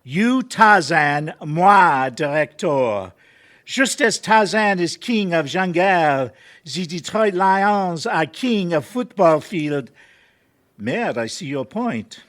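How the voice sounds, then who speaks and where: A man's voice: fairly high pitch, big pitch swings, slow pace, fairly bright tone, no room sound, clean studio sound, exaggerated voice. A man playing a pompous, artsy French film director with a French accent and fussy, theatrical broken English. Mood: haughty.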